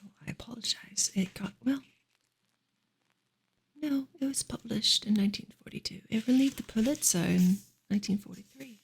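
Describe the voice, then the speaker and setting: A woman reading a book aloud for ASMR in a soft, breathy, unhurried whisper. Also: on the high side. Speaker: ASMR reader and streamer.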